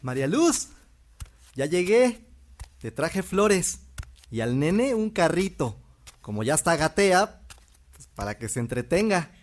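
A man's voice: fairly high pitch, big pitch swings, unhurried, long pauses. An actor playing a devoted young Mexican village husband and father in an audio drama, earnest and doting.

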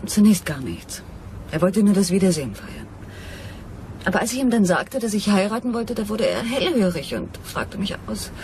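An actress playing a mysterious swindler who pleads and justifies herself, earnest and dramatic, in in a crime radio drama. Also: female voice, mid-range voice, very animated, moderate pace, a little mellow, lightly acted. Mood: confessing, regretful. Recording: radio play with clear vehicle and ambient noise.

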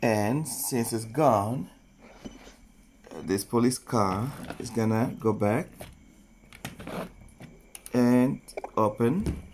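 A man hosting a toy train video, narrating slowly and casually to young viewers while he handles wooden toys in a playroom. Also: medium pitch, a little mellow, slight background noise. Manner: slow, unhurried, talking to children viewers. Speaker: toy train channel host. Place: indoor playroom with shelves and toy train table.

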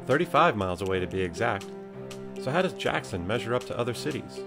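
A man's voice: fairly low pitch, animated, fast talker, gravelly. A man with an American accent reading scripted documentary narration. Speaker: narrator and nature photographer. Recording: music behind. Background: music.

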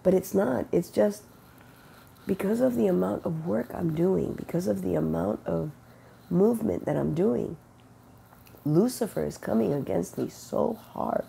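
Slow, gravelly middle-aged woman with a cold. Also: on the low side, dark tone, a little hiss or hum. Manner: earnest, unhurried, quotes God in conversation. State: has a cold, sniffling and rough-voiced. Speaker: faith vlogger. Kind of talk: casual spiritual testimony. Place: bedroom with bright window, indoors. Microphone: wired earbud mic, webcam close-up.

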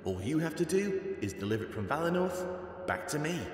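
A man's voice: fairly high pitch, very animated, a little fast. A man playing a solemn, calculating animal rebel leader in a fantasy video game. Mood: urgent, commanding. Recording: echoey cavernous reverb.

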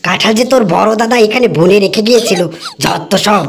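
A performer voicing a stingy, rude tiger in a cartoon, scolding with contempt in a creaky voice. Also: mid-range voice, normal speed, natural voice. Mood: angry, scornful. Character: stingy, rude, bullying tigers guarding their jackfruit. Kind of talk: cartoon voice acting. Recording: noisy recording with clicks.

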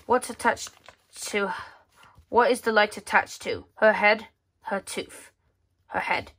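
Casual female read-aloud voice, medium pitch, halting, with offhand muttered asides. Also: lively intonation, fairly bright tone, husky. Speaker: magazine reviewer. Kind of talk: casual read-aloud walkthrough of a children's magazine. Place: indoors at a tabletop.

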